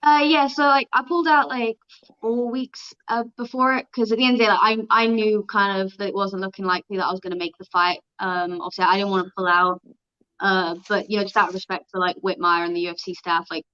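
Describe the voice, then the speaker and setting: A young woman from Wales speaks in a Welsh accent with an American edge. Her voice is smooth and clear, and she answers casually, leaning on "like" and quick restarts. The audio is slightly dull, like a lo-fi video call. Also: mid-range voice, fairly even intonation, pushed and bright. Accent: Welsh with an American twang. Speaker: guest, pro fighter. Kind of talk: interview answers.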